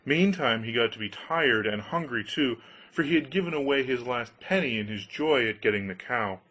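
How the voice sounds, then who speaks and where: A man's voice: medium pitch, animated, normal speed, pushed and bright. A man narrating a scripted fairy tale as an audiobook reader.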